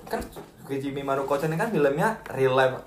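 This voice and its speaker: A man's voice: mid-range voice, animated, brisk, echoey room, slight background noise. A man chatting and teasing his friends. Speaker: podcast co-host. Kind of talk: casual chat.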